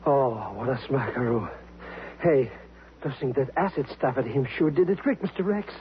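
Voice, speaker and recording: An actor playing an eager, slangy comic sidekick with bad grammar in a 1950s radio show, on an old broadcast. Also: male voice, mid-range voice, lively intonation, moderate pace, muffled recording, a little hiss or hum, natural voice. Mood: excited, admiring. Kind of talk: 1950s radio comedy acting.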